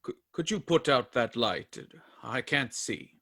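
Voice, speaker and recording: A man's voice: mid-range voice, lively intonation, measured pace, fairly bright tone. A man acting a grumpy, sardonic old miser in a community radio play, with dry grumbling complaints.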